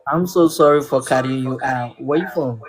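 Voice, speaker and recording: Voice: medium pitch, normal speed. A speaker from Ghana, a livestream guest, chatting in a flirtatious, complimenting way and often repeating questions. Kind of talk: casual flirty chat.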